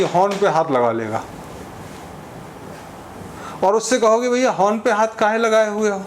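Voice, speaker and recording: High-pitched man giving informal commentary, some noise. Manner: conversational, illustrates points with examples and imagined exchanges. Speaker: speaker giving social commentary. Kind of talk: informal opinion monologue.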